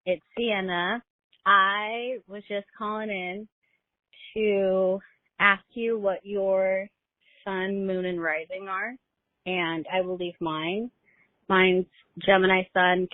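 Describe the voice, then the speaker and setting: Female caller, effusive and informal, mid-pitched, on a lo-fi phone voicemail. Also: dry recording. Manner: effusive, gushing. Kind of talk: casual rambling voicemail. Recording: dry, muffled lo-fi phone voicemail.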